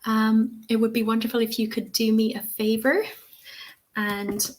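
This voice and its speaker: A woman's voice: fairly high pitch, little pitch movement, unhurried, poor audio. A woman in her forties with an Irish accent, presenting a teacher-training webinar and answering questions in a friendly, chatty way with many fillers. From Ireland. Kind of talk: live webinar Q&A.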